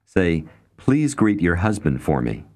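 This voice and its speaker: A man's voice: low voice, big pitch swings, takes it slowly, darkish tone. A man narrating a language course, reading short English phrases for the learner to repeat. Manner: clear prompting, reading short English cues. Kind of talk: scripted instructional narration.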